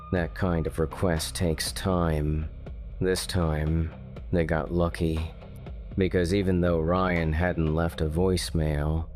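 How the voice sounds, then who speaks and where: A man narrating a dark true-style mystery story in a slow, measured, ominous way. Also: sits low. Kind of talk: scripted horror narration. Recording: faint music underneath.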